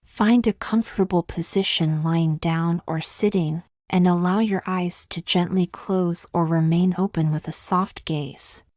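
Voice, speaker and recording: Female meditation guide: lowish voice, very unhurried, calm delivery, close dry sound. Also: dry recording. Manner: calm, unhurried, gently measured with long pauses. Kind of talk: guided meditation, scripted soothing narration.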